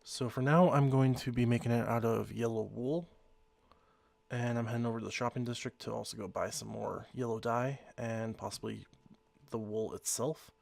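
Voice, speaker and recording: A man with a slightly hoarse voice from being sick, casually talking through his gameplay in a rambling, easygoing way. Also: mid-range voice, fairly even intonation, moderate pace, darkish tone, no room sound. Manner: rambling, easygoing, self-correcting. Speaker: let's play host.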